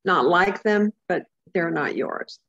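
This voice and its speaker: Husky slow woman joking around on a call, bit creaky, dry and muffled. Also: on the low side, lively intonation, a bit bright, no echo. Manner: joking, laughs often. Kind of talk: casual group chat.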